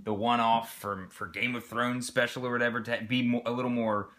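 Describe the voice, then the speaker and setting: A man's voice: medium pitch, little pitch movement, a little fast, bright, forceful tone. A young man reviewing a beer in casual chat, laid-back and swearing now and then, recorded by a camera on the table in a home living room. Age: young adult.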